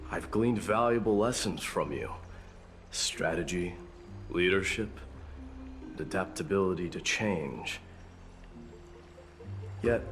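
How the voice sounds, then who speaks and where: An actor playing a stoic, proud warrior demigod in a video game, speaking formally and resolutely over music. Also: male voice, low-pitched. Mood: earnest, respectful. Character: stoic, proud warrior prince demigod, devoted to protecting others. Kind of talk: dramatic video game voice acting.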